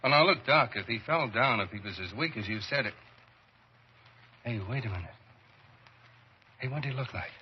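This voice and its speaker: A man's voice: medium pitch, animated, moderate pace, long pauses, natural voice. A man with an American accent playing a plain-spoken, skeptical working man in a radio horror drama, folksy and colloquial. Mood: puzzled. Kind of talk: old time radio horror acting. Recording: dull, hissy old radio recording.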